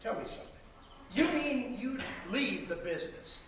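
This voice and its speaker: Older businessman father, stage drama male actor: blunt, exasperated, lively swings in pitch, roomy far-off recording with faint noise. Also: normal speed. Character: gruff, grumbling older businessman father and husband. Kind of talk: stage play acting. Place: theater stage at a college, filmed from the audience. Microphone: far camera.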